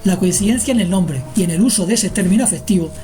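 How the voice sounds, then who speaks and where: A woman's voice: lowish voice, fast, mellow. A woman narrating a scripted radio drama as the storyteller. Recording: music behind the narration.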